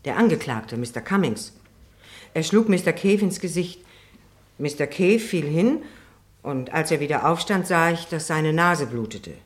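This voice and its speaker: Anxious female witness, very low voice, hesitant and deferential, radio courtroom drama. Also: expressive, a little slow. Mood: uneasy recounting. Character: timid, anxious woman witness testifying in court.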